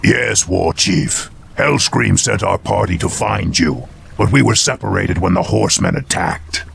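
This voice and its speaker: An actor playing a loyal, respectful, weary orc clan warrior in a fantasy video game, with a deep, heavily gravelled voice. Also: male voice, a little slow, full character voice. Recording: noisy ambience behind voice.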